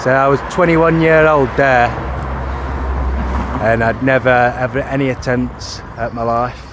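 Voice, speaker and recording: A man's voice: mid-range voice, fairly even intonation, unhurried. A man, talking to camera outdoors by a street, repeating and correcting himself insistently. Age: 30s. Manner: repetitive, restarting, insistent. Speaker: vlogger. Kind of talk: first-person video to camera. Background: traffic noise.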